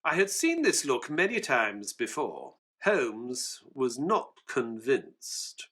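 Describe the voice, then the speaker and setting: A man playing an earnest, excitable Victorian gentleman, a detective's companion and narrator, in an audio drama. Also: medium pitch, takes it slowly, slightly rough, full character voice. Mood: grave, narrating.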